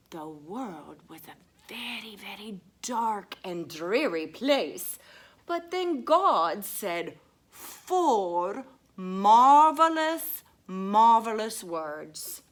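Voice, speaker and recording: A woman voicing a sweet, excitable lady who reads to children, drawing out words with exaggerated wonder. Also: medium pitch, voice pushed up, full character voice. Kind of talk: children's story reading.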